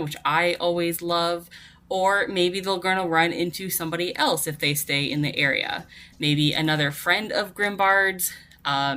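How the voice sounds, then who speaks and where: A woman's voice: on the low side, sharp tone. A woman giving prepared commentary in a listener voice message, earnest and articulate.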